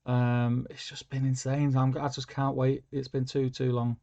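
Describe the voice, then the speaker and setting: A man's voice: mid-range voice, little pitch movement, normal speed, mellow. A man hosting a casual livestream, reading out viewer comments with lots of fillers and humming to himself. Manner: rambling, filler-heavy, hums while scrolling. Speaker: livestream host. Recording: lo-fi stream audio.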